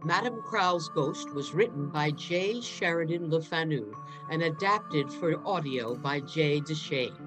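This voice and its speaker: Woman reading show credits, music behind, kinda slow, scripted announcer style. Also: low voice, expressive, rough recording. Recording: music under the voice.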